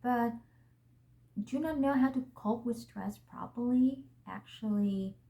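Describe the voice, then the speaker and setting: A young woman speaks with a Vietnamese accent in an unhurried, deliberate rhythm. Her voice is soft and mellow, and her manner is gentle and earnest as she thinks aloud to her viewers. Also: on the high side, low-quality recording. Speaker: vlog host. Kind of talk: reflective talk to camera.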